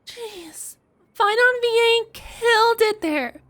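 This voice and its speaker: Very high-pitched, excitable woman streamer. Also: slow. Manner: excitable, exclamatory. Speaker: streamer reacting to a game. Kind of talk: casual live reaction commentary.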